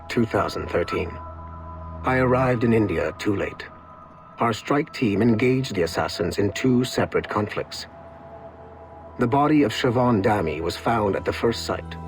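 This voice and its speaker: An actor playing a stern, businesslike field agent in a game audio log, speaking in a formal, clipped, matter-of-fact way. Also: male voice, on the low side, close to an everyday voice. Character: stern, businesslike male Templar field agent hunting assassins. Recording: music under the voice.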